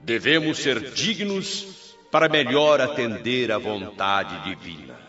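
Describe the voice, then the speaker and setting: An actor playing a venerated governor of a spirit colony in a radio drama, addressing the public with vehement, clear, loving authority over loudspeakers. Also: male voice, mid-range voice, lively intonation, takes it slowly. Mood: solemn, commanding. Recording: dull, lo-fi sound with music.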